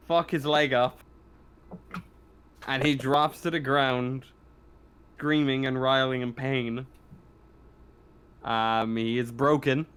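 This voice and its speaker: A man talking as game master of a casual tabletop game, halting and repeating phrases as he narrates. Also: medium pitch, a bit bright, smooth.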